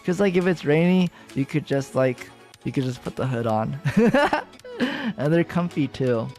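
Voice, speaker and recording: A man's voice: on the high side, big pitch swings, a little slow, a little mellow, soft background music. A young man with an American accent chatting with viewers on a livestream, rambling and giggly, full of fillers and restarts. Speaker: VTuber streamer. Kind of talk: casual livestream chat with viewers.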